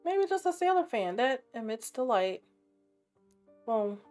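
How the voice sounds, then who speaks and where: A woman with a raspy voice and a sore, scratchy throat, chatting casually while narrating her gameplay and thinking aloud. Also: on the high side, big pitch swings, unhurried, darkish tone, faint music behind. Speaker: game streamer.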